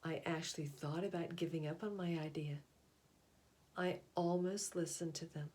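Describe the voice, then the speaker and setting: Female storyteller, lower register, deliberate expressive picture-book reading with measured pauses. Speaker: read-aloud storyteller. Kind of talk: picture book read aloud.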